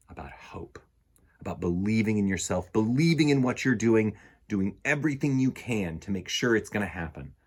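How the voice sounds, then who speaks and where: A middle-aged man with a husky voice, hosting a children's storytime in an expressive, theatrical way. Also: on the low side. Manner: theatrical, varied delivery addressed to young listeners. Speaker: children's storytime host and reader. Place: indoor room lined with bookshelves.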